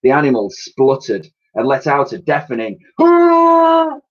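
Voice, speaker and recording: A man reading a children's story aloud as a teacher would, with dramatic, expressive delivery. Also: mid-range voice, moderate pace. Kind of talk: animated storybook narration. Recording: dull, lo-fi sound.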